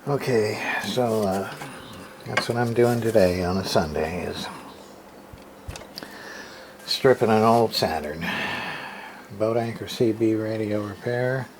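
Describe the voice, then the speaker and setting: Slow-talking man, noisy amateur recording. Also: lowish voice. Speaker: hobbyist radio repairer, video host. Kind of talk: casual unscripted show-and-tell of equipment.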